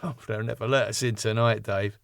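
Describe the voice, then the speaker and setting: A man's voice: fairly low pitch, fairly even intonation, a little fast, gravelly, dry recording, studio quality. A man voicing an anxious, gullible lad in a sitcom, speaking in a worried way.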